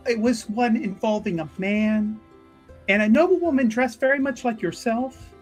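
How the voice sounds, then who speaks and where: A player voicing a polite, probing church sister in a fantasy tabletop game, with formal, measured, pious phrasing. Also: medium pitch, faint music behind, voice pushed up, close to an everyday voice.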